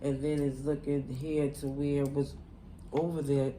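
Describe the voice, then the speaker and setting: A woman's voice: rumbling low, flat delivery, measured pace, dark tone, slight background noise, low-quality recording. Stuffy-nosed woman reading tarot. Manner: rambling, loose, lots of "you know" and "like". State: stuffy, nasal from a cold. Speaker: tarot reader. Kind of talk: casual tarot reading to camera.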